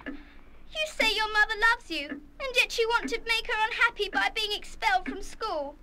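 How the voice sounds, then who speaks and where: A woman's voice: extremely high pitch, animated, moderate pace, very noisy. A woman playing a sensitive, earnest schoolgirl with a plaintive manner in a radio play. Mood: sad, plaintive. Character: lonely, sensitive, sincere schoolgirl. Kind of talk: full-cast radio play acting.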